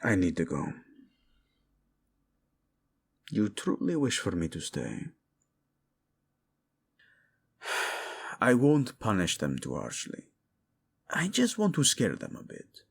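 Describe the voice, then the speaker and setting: Man playing a deep, gravelly, devoted royal husband. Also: huge pitch swings, exaggerated voice. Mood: protective, firm. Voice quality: husky, gravelly low voice. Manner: slow, hushed, teasing, formal wording. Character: devoted, possessive young royal husband, feared ruler. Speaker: voice actor in romantic roleplay audio. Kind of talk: intimate scripted romantic audio roleplay.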